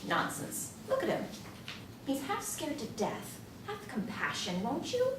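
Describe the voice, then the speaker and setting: A woman's voice: high voice, wildly swooping pitch, unhurried, heavily acted. A woman playing an indignant, compassionate middle-aged mother scolding her family in a staged comedy play reading. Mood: pleading, firm. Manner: emphatic, scolding. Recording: roomy, distant stage recording with noise.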